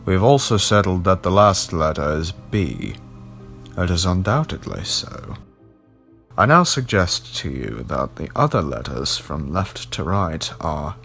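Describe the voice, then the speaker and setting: A man voicing a grave, learned gentleman in an audiobook, explaining in a measured, deliberate way. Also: deep voice, creaky, full character voice. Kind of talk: audiobook character dialogue.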